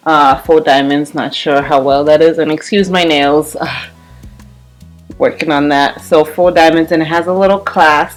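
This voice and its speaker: Low-voiced rambling woman in her twenties. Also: slight background noise, rough recording. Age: 20s. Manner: rambling, repeats herself, many fillers. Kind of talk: casual chat while doing her hair.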